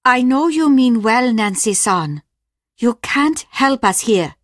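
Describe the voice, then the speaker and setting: Older Japanese innkeeper mother, video game character, very slow delivery, formal, restrained, stern and proud, dry close sound. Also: on the high side, lively intonation, no echo. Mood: sorrowful.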